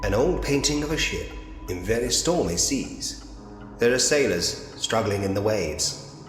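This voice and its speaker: A man's voice: fairly low pitch, lively intonation, a little slow. A man voicing a polite, drily whimsical Victorian police inspector who muses to himself in an adventure game. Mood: musing, curious. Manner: musing to himself, genteel, drily humorous. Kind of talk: point-and-click adventure game voice acting. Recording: reverberant room with long echo. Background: game music.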